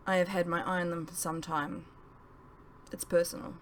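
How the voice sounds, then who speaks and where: A woman voicing a calm, terse, mysterious woman rescuer in an audiobook, cool and understated. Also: on the low side, normal speed, a little hiss or hum, natural voice. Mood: quiet, controlled.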